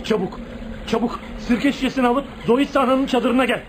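A man's voice: extremely high pitch, normal speed, background noise. A man acting a fierce, bossy, protective young man in a Turkish radio play, with an emphatic, theatrical delivery. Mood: urgent.

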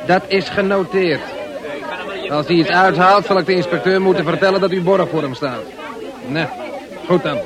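An actor playing a skeptical police officer in a radio play, giving orders in the street. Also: male voice, sits high, normal speed, long pauses, a crowd in the background, close to an everyday voice. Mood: officious. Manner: skeptical, ordering people about. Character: gruff street policeman, male.

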